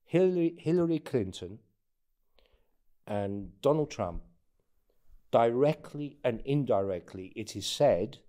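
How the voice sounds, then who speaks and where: A man speaking as an interview guest in casual anecdotal talk, often halting and repeating words as he goes. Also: fairly low pitch, warm tone. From born in North Africa, has lived in England and the United States. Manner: halting, stammered repetitions of words. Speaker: interview guest, former presidential candidate.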